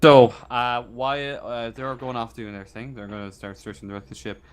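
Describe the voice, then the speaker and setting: A man acting as game master, narrating a tabletop roleplaying game casually and haltingly. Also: medium pitch, fairly even intonation, clean tone. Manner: halting, feeling out descriptions as he goes.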